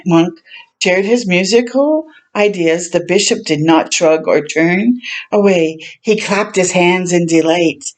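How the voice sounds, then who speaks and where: A woman reading a children's story aloud as a storytime host, adding gentle chatty reactions as she goes. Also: on the low side, rough recording. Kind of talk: read-aloud narration with chatty asides.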